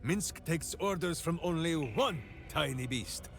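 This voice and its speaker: An actor playing a hearty, simple-minded heroic warrior in a video game, speaking with theatrical, earnest grandeur. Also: male voice, on the high side, lively intonation, unhurried, creaky. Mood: resolute. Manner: grandiose, earnest third-person speech. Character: hearty, devoted, simple-minded heroic warrior man with beloved pet hamster. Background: game music.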